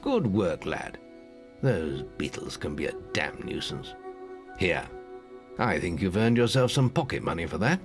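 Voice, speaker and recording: A stern but kindly older guildmaster for a fantasy video game. His voice sits low and moves with lively inflection as he instructs and encourages like a seasoned mentor. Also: measured pace, faint music behind, heavily acted. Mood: gruff, kindly.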